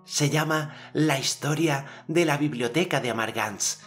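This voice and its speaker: A man voicing a confident, generous boy hero telling tales in an audiobook, in a measured manner. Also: on the high side, a bit bright, soft background music, voice pushed up. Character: generous, self-assured boy storyteller hero, inventing tales. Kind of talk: audiobook character narration.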